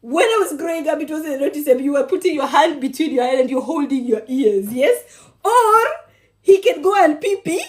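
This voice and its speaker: A woman from Kenya chatting on a cooking livestream in a high, lively voice. She is bubbly and affectionate with her viewers, laughs often and teases them playfully. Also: fairly quick. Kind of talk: casual livestream chat with viewers.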